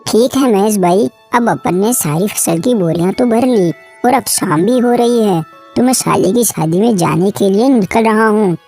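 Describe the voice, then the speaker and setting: A woman's voice: mid-range voice, moderate pace, few pauses, warm tone, faint music behind, natural voice. A woman voicing a trusting, hardworking male farmer, earnest and obliging, in a cartoon moral story. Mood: earnest, practical.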